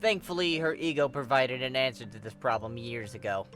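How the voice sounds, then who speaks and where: A man reading fan fiction aloud as narrator, in an expressive scripted storytelling style. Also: mid-range voice, fairly quick, soft background music.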